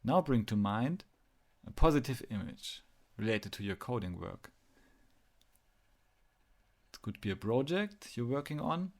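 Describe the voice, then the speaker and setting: A man narrating a guided meditation, speaking in measured phrases with pauses as he gives instructions. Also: mid-range voice.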